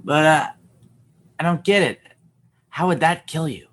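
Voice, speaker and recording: A man's voice: a little high, unhurried, fairly bright tone. A man playing a gleefully cruel, mocking child prankster in comedy radio theatre, with a scornful, taunting manner. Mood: eager, goading.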